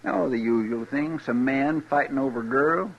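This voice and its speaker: An actor playing a folksy, rambling marshal's sidekick with an in an old-time radio western. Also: male voice, mid-range voice, moderate pace, slight background noise, lightly acted. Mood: rambling, weary. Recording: dull old radio recording.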